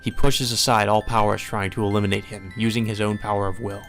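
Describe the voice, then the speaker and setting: A man reading a visual novel aloud as dramatic, solemn and measured narration. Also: fairly low pitch, little pitch movement, a bit bright. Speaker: narrator, let's play reader. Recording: music behind the voice.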